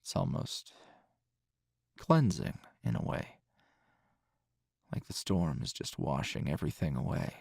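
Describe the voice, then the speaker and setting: A man playing a tender, teasing hero boyfriend in an intimate ASMR roleplay, soft-spoken with a husky, breathy voice and unhurried pauses. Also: a bass voice, very animated, dry recording, clean studio sound, full character voice. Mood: wry, reminiscing. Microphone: close, dry microphone.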